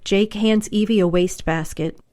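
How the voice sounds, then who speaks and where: A woman narrator reading stage directions in an even, matter-of-fact way. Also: mid-range voice, moderate pace. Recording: guitar music under the voice.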